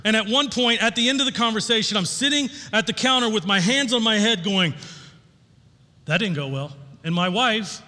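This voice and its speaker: Bright, high-voiced male preacher in a reverberant hall. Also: normal speed. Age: middle-aged. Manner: informal, folksy, candid. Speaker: pastor. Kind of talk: sermon with personal storytelling. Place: large church auditorium, on stage. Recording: long hall reverb from sound system.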